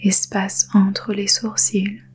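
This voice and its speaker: A woman guiding a yoga nidra meditation in a soft, breathy half-whisper, calm and soothing, with unhurried pauses. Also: mid-range voice, faint music behind. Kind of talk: guided meditation.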